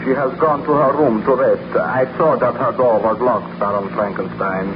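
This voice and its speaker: A dutiful bodyguard played by a man talks formally and respectfully at an average pitch. It is watchful 1930s radio drama acting on a dull, noisy recording. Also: fairly even intonation, moderate pace, natural voice. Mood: watchful, concerned. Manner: formal, deferential.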